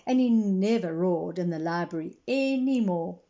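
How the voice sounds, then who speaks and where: A woman's voice: on the low side, lively intonation, normal speed, warm tone, muffled recording. A woman reading a picture book aloud to children as a librarian, with simple explanations along the way. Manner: clear explanatory storytelling for young listeners.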